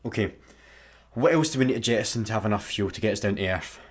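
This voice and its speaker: An actor playing a hapless, good-natured, sheepish satellite worker with a Scottish accent in a sci-fi comedy radio play. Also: male voice, fairly low pitch, fast, lightly acted. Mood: indignant.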